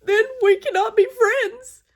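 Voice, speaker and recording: A woman's voice: very high-pitched, a little slow, voice pushed up. A woman voicing a distraught, melodramatic male elephant in a storybook, wailing and stammering with exclamations. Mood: tragic despair.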